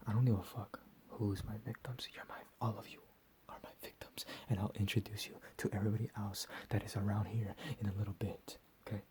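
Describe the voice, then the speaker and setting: A man playing a deranged, menacing captor in a whispered ASMR roleplay, profane and slow with drawn-out emphasis. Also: lowish voice, little pitch movement, warm tone, slight background noise, natural voice. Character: deranged menacing male captor, recently escaped asylum.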